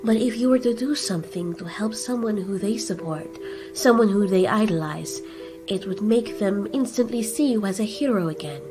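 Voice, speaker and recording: A woman voicing a gentle female monster in a storytelling, soft-spoken, hesitant and earnest. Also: medium pitch, normal speed, music in the background, lightly acted.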